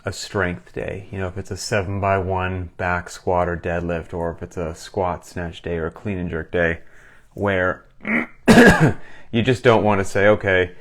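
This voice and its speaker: A man with a cold, hosting a casual live question-and-answer session as a fitness coach, talking with enthusiasm. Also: low-pitched. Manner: enthusiastic, conversational, reads questions aloud. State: getting over a cold, sucking a cough drop. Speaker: gym owner and coach hosting a Q&A. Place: recorded for a live stream.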